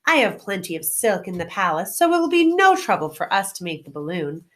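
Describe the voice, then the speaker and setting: A woman voicing a grown man, a friendly humbug wizard, in an animated storybook read-aloud. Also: medium pitch, fairly quick, close to an everyday voice. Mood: eager, explanatory.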